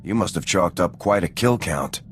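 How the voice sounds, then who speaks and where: Deep-voiced calm squad leader. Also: male voice, normal speed, a little hiss or hum. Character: composed male squad commander, dry humor. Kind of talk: video game cutscene acting.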